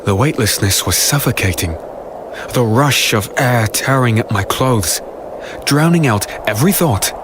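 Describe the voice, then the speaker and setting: A man playing an earnest fantasy hero who narrates his ordeal gravely and dramatically. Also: medium pitch, expressive, a little slow, background noise, lightly acted. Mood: grim. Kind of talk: scripted dark fantasy audio drama narration. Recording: very loud dramatic music behind.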